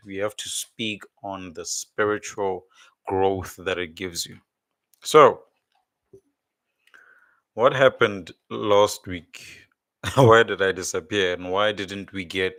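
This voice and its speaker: A man's voice: low-pitched, fairly bright tone, no room sound. A man hosting a casual religious livestream, congested with a blocked nose and sore throat, rambling and repeating words as he searches for them. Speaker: livestream host.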